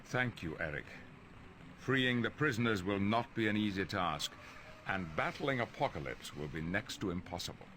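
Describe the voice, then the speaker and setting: A low, composed older professor from a video game. He speaks formally and with authority, ready to reprove, over a faint background noise. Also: lively intonation, normal speed, a bit bright. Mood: grave, composed. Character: dignified older male professor and leader, calm but reproving.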